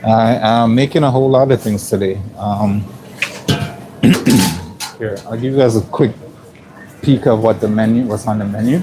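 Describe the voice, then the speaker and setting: A fairly low-pitched man with a raspy edge talks viewers through his prep in a work kitchen. He keeps it loose and conversational, with frequent ums and throat clearing. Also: noisy background. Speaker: chef livestreaming from work. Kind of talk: casual live-stream narration.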